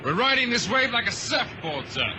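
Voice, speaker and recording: An actor playing a brisk, dutiful ship's officer who reports urgently, in an old-time radio drama. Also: male voice, very high-pitched, very animated. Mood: excited. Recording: noisy vintage radio drama recording.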